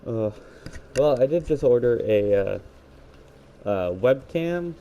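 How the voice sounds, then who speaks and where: A man's voice: mid-range voice, expressive, slow pace, warm tone, lo-fi sound. A man with a scratchy voice giving rambling play-by-play commentary while gaming, talking into a headset. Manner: rambling, self-correcting play-by-play. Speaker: gaming video creator.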